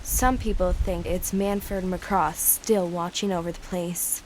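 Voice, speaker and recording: A woman's voice: fairly low pitch, fairly even intonation, slow pace, a little hiss or hum, rough recording. Sassy, sarcastic schoolgirl played by a young female. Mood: curious. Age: child or teenager. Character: sporty, sarcastic schoolgirl with quick comebacks. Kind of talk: amateur radio drama acting.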